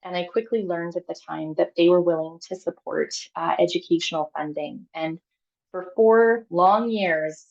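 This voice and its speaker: Low, gravelly woman telling her story. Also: steady tone, moderate pace, a little mellow, highs cut off. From Canada. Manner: candid, chatty, many fillers and restarts. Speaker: guest speaker. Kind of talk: personal talk on a video call.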